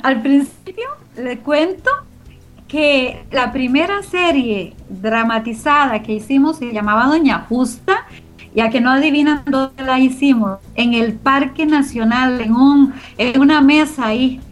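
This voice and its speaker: A woman's voice: high voice, a little slow. A middle-aged woman, giving reflective, explanatory answers as an interview guest. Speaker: interview guest, organization founder.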